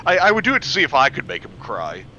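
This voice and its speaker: A man's voice: medium pitch, huge pitch swings, fast, sharp tone. A man in casual banter as a co-host, recorded on a muffled, lo-fi mic with noise behind him.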